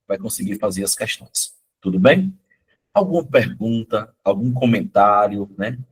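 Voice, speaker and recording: A middle-aged man, hoarse from a cold, teaching a live online math exam-prep class in a chatty, coaxing way, often checking in with his students. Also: fairly low pitch, low-quality recording. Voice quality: husky.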